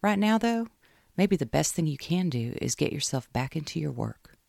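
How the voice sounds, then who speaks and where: A reader voicing a brash, cheering southern woman friend with a drawl and loud, emphatic delivery in an audiobook. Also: medium pitch, sing-song, normal speed, gravelly, dry recording, clean studio sound, pitched above the performer's own voice. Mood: reassuring.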